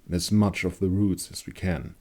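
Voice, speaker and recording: A man voicing a grim veteran monster hunter in a dark fantasy story, speaking gravely and honestly. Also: deep voice, steady tone. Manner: grave, hushed, deliberate.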